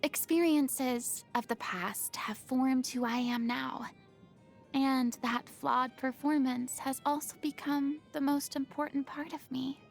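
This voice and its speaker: High-pitched theatrical young diva. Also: female voice, fairly even intonation, slow, vocal fry, faint music behind, heavily acted. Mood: wistful, confiding. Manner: theatrical, refined, haughty diction with dramatic swings. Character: theatrical young woman, proud, dramatic former stage idol, secretly wistful. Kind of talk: video game character voice lines.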